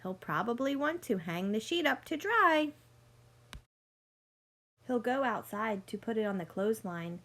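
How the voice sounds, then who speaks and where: A woman reading a picture book aloud like a teacher, speaking deliberately to young listeners and explaining as she goes. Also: a little high, sing-song, a bit bright. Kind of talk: read-aloud storytelling with teaching asides.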